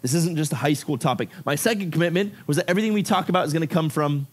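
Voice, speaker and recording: Youth pastor guy from ecuador, slightly high voice, super casual, lots of "like" and "right?". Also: rapid-fire, breathy edge. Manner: casual, animated, filler-heavy with frequent "like" and "right?". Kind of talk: youth sermon. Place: youth service room with about fifty people.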